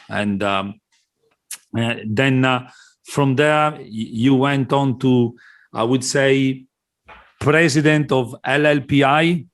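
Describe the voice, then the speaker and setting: Male podcast host, halting delivery. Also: mid-range voice. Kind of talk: conversational video podcast interview.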